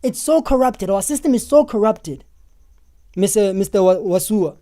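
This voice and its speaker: A hoarse South African man hosting a live stream, reading viewers' comments out loud and answering them in an animated way. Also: sits high, moderate pace. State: hoarse, losing his voice.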